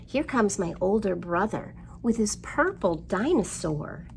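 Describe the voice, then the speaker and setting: A middle-aged woman hosting storytime for young children, with an expressive, sing-song delivery, recorded indoors a short way from the microphone. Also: mid-range voice, measured pace, creaky, a little hiss or hum. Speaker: children's library storytime host. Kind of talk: storytime rhymes and picture book reading for young children. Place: indoors in a library room.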